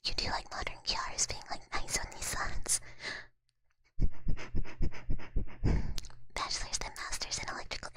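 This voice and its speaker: A young woman streamer whispering casually as she answers chat, with lots of "like" and loose fillers. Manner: soft whisper, casual filler-heavy talk.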